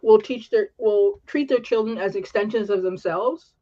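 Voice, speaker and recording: Woman, upper-class Canadian accent, earnest, fragmented speech, medium pitch, dull lo-fi audio. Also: moderate pace, warm tone. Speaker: panelist. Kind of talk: casual live-stream panel chat.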